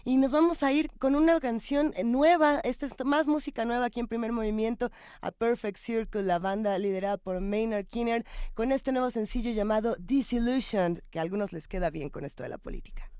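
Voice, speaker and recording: A woman's voice: on the high side, fairly quick, few pauses, darkish tone, clear, resonant tone, no echo, clean studio sound. A woman with a Mexican accent hosting a live show, effusive and affectionate in how she addresses people. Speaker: show host. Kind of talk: live radio presenting.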